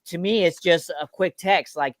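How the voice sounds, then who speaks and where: Slangy, higher-pitched man, close and dry. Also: moderate pace, dry recording. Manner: repeats phrases for emphasis, slangy. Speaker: company team member on a panel. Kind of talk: casual chat.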